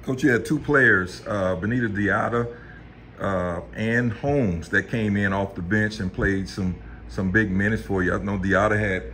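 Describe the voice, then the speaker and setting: A man asking a coach questions at a press conference, halting with many fillers. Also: on the low side, a bit bright, slight background noise. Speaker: sports reporter.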